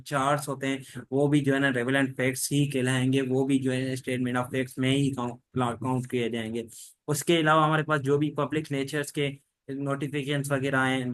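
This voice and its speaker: A man teaching an online class, explaining steadily and repetitively with many fillers. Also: medium pitch.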